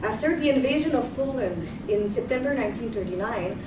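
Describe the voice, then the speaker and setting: A young woman giving a history lecture, partly read from notes, measured and earnest, stopping now and then to rephrase. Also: on the high side, animated. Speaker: guest lecturer. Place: lecture room. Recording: echoey room, far mic, background noise.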